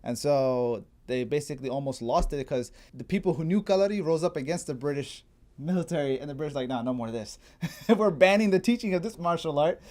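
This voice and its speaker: A man in a casual chat, explaining history as a guest in an informal, digressive way, as if thinking out loud. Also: a little high, a little fast. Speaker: guest martial arts enthusiast explaining history.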